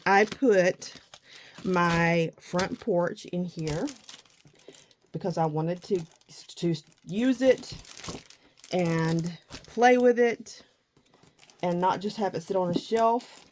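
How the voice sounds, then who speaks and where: A middle-aged woman talks through her craft supplies in an unhurried, rambling way. Her voice is husky and a little low from the early morning. She often pokes fun at herself. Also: darkish tone, a little hiss or hum. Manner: chatty, rambling, self-deprecating. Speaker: scrapbooking vlogger. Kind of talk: casual crafting chat.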